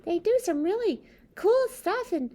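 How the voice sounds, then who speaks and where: A woman voicing a curious, excitable, childlike bird puppet who chimes in during a children's read-aloud. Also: extremely high pitch, a little slow, pitched above the performer's own voice. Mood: enthusiastic. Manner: exclamatory, inquisitive interjections.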